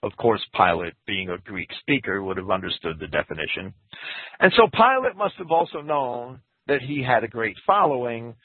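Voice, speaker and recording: A man's voice: breathy edge, no room sound. A man giving a Bible commentary in a lecturing way, reading scripture quotes aloud. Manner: lecturing, reads quotes aloud, lively pitch. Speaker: Bible teacher. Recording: tinny phone-like radio broadcast.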